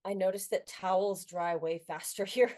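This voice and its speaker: A woman in casual podcast chat, chatty and quick to laugh. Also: mid-range voice, steady tone, a little mellow.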